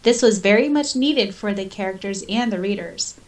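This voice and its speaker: A woman's voice: medium pitch, moderate pace, darkish tone. A woman co-hosting a casual fan podcast, chatting and reading listener comments aloud. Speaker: podcast co-host.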